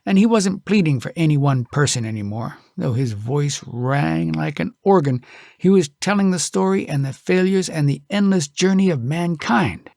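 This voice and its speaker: A man reads a tall tale in a folksy, dramatic storyteller's style. His pitch sits a little high and moves around expressively, with a creaky grain in the voice. The sound is dry and intimate. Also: lively intonation, moderate pace, a little mellow, no room sound. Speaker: audiobook narrator.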